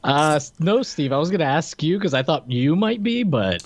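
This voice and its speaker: A man's voice: a little high, big pitch swings, moderate pace, no echo. A man co-hosting a podcast, giving casual, slangy movie commentary in a conversational way. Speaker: podcast co-host. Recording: dry, slightly dull lo-fi mic.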